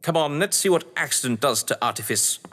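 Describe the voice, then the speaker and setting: Older British gentleman villain, played by a male actor: high-pitched, florid, scheming, audio-drama delivery, echoey hall. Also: animated, a little fast, a little hiss or hum. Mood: gleeful, directing. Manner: florid, pompous, sardonic. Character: theatrical, scheming upper-class male crime novelist and manor host. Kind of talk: stage-play audio drama acting.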